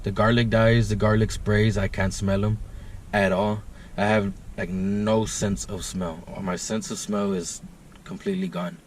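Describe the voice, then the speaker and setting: A man's voice: on the low side, flat delivery, a little slow, slight background noise. Laid-back guy vlogging outdoors. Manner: laid-back, rambling, says "guys" often. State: congested, stuffed sinuses. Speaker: fishing vlogger. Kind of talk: casual vlog talk to camera. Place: outdoors by a river.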